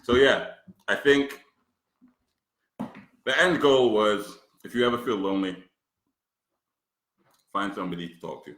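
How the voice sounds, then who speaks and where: A man's voice: on the low side, expressive, unhurried, pauses often, a bit bright. A man from Manchester co-hosting a casual livestream chat, joking and crude in a laid-back way. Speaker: co-host. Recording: echoey room.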